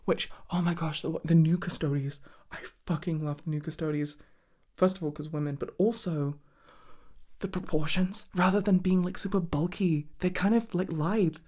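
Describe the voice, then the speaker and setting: A woman's voice: lowish voice, steady tone, moderate pace, dark tone. A woman rambling casually in an ASMR chat, enthusiastic and sweary, with hesitant, meandering delivery. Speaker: hobby ASMR content creator.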